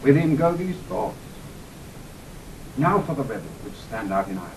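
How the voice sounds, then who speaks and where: An actor playing a brisk, deferential courtier advising his king in a Shakespearean audio drama. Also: big pitch swings, recorded from a distance, rough recording. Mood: businesslike. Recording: off-mic vintage recording, faint rumble.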